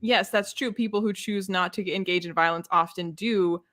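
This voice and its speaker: Female co-host for casual book chat. Medium pitch, opinionated, animated delivery with sarcastic asides. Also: moderate pace. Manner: animated, exasperated, sarcastic, acts out imagined quotes.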